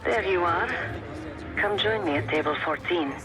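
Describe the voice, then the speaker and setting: An actor playing a confident, breezy undercover agent coaching a teammate in a video game. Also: male voice, high voice, normal speed, natural voice, rough recording. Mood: smooth. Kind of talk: video game cutscene acting. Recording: loud music behind voice.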